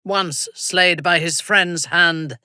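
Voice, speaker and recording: An actress playing a haughty, ruthless female dark elf warlord in a video game, imperious and formal, with a breathy, husky voice. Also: fairly low pitch, dry recording, clean studio sound, full character voice. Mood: cold menace. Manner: imperious, formal, deliberate, coldly menacing. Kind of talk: video game character dialogue.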